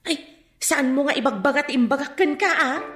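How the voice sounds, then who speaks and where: An actress playing a teasing, gossipy shopkeeper in a radio drama. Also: female voice, high-pitched, moderate pace, music in the background, lightly acted. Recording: echoey room sound.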